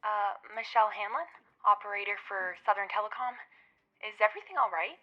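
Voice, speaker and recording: A woman's voice: mid-range voice, a little fast. A woman playing a nervous, apologetic, hesitant telephone operator in a radio play, heard through a phone filter. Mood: puzzled, polite. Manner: hesitant, polite. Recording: tinny phone line with faint music.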